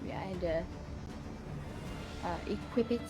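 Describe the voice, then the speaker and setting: A woman's voice: fairly low pitch, a little slow, over loud music. A woman chatting casually as a tabletop game player, thinking aloud in a hesitant way. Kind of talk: casual unscripted game play chat.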